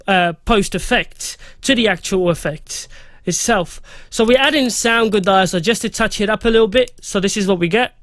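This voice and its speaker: A man, slightly hoarse, casually talking through a music mixing tutorial and reading out settings with loose fillers. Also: high voice, a bit bright, no room sound, studio quality. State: slightly hoarse, losing his voice. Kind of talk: casual screen-recorded software tutorial.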